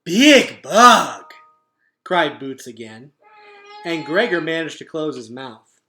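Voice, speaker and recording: A man reading a children's book aloud as a teacher would, with dramatic, expressive narration. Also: mid-range voice, measured pace, faint music behind.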